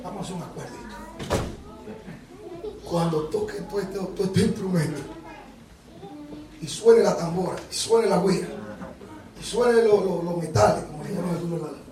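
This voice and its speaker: A man preaching a lively sermon with a Dominican accent, animated and joking with the congregation. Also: sits high, normal speed, long pauses. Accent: Dominican Spanish. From Dominican Republic. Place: church hall. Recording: echoey hall from far off, congregation.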